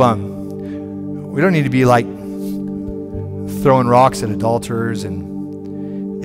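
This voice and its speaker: A man in his forties, a pastor preaching a sermon from a church stage on a headset mic, animated and conversational, with frequent restarts. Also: mid-range voice. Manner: animated, moving about the stage and gesturing.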